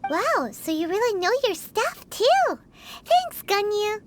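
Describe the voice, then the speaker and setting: A woman voicing a tiny, blunt and perky childlike fairy companion in a video game, with a squeaky, expressive delivery. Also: slow, full character voice. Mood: cheerful, impressed. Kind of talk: video game cutscene dialogue. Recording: music under the voice. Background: background music.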